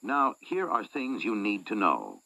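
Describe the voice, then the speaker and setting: A man announcer reading scripted civil defense instructions in a measured, instructive manner. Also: lowish voice, wildly swooping pitch, creaky, noisy background. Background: music.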